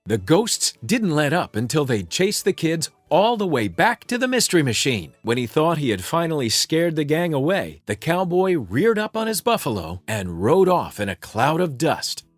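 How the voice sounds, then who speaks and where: Very animated male storybook narrator. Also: on the high side, normal speed, few pauses, dry recording, faint music behind.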